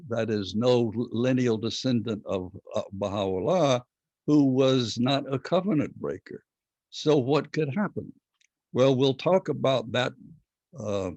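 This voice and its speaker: Hoarse older man lecturing online. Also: medium pitch, darkish tone, no echo. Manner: measured, halting with many fillers. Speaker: teacher. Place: home study with bookshelves. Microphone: laptop webcam video call. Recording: dull lo-fi video call audio.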